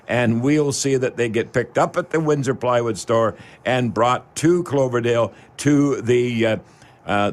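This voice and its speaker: Folksy Canadian man hosting local radio. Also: medium pitch. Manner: rambling, folksy, addresses listeners directly as folks. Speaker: radio show host. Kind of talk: live local radio talk with folksy promotional patter.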